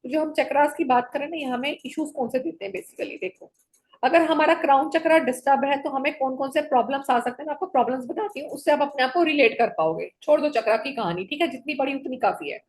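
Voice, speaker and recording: A woman's voice: sits high, fast. A woman teaching an online color therapy class, listing one item after another and checking in with her students.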